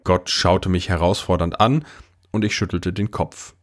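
A man playing a puzzled boy who narrates an audio drama in the first person, in a measured, understated way. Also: low-pitched, steady tone. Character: puzzled boy narrator recounting his talk with God.

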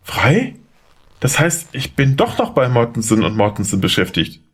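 A man's voice: medium pitch, wildly swooping pitch, normal speed, slightly rough. A man playing a bewildered, anxious lawyer who doubts his own mind, in a questioning, unsettled way, in an audio drama. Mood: confused. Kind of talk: German audio drama acting.

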